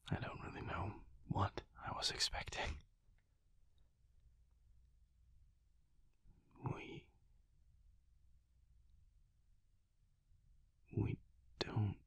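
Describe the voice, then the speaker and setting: Man as a low, whispering vampire lover. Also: fairly bright tone, no room sound, full character voice. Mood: wry, measured. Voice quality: breathy, husky whisper with gravelly creak. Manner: slow, deliberate, pausing mid-sentence. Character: mysterious male vampire lover, calm, tender, guarded. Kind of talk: intimate whispered audio roleplay. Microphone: close, dry microphone.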